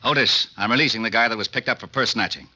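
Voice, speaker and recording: An actor playing a gruff, exasperated middle-aged police lieutenant in a 1940s radio drama, grumbling at his friend. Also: fairly low pitch, quick pace, bright, forceful tone.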